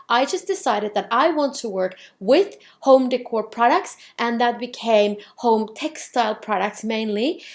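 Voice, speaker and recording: A woman in her thirties from Finland with a Finnish accent, presenting a business workshop in a clear, steady, explanatory way. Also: a little high.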